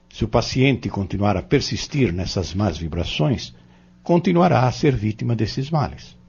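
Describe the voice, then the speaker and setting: A Brazilian man acting in an audio novel as a patient, earnest Spiritist teacher, explaining doctrine in measured, emphatic phrases. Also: fairly low pitch, darkish tone, slightly rough, heavily acted. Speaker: Spiritist instructor in an audio drama. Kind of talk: didactic audio-novel dialogue. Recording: dull, lo-fi audio.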